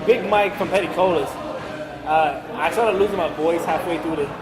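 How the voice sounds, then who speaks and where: A man's voice: on the high side, normal speed, music in the background. A man hosting a podcast, telling rambling stories in casual bar chat and cussing freely. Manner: rambling storyteller, cusses freely. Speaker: podcast host. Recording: roomy, loud crowd behind.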